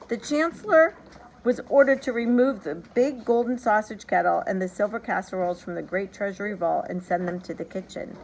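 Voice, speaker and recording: A woman reading a children's story aloud in an animated, expressive, emphatic storytelling manner. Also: mid-range voice, poor audio. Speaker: storybook reader. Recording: background noise behind the voice.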